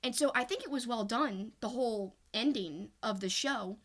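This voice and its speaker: A woman's voice: a little high, normal speed, fairly bright tone. A woman co-hosting a talk podcast, giving casual, opinionated sports commentary in an emphatic, fan-like way. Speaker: podcast co-host.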